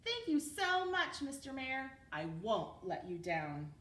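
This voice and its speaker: An eager, kind-hearted storybook horse, voiced by a woman, gushing and exclaiming with big leaps in pitch. The recording is roomy and a little distant. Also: very animated, takes it slowly, a bit bright, exaggerated voice. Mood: thrilled, grateful. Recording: roomy, distant mic with background noise.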